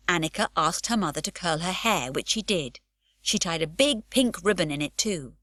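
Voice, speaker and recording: A woman reading an unabridged children's audiobook aloud with an expressive storytelling lilt, recorded in a studio. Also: lowish voice, no room sound. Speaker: audiobook narrator.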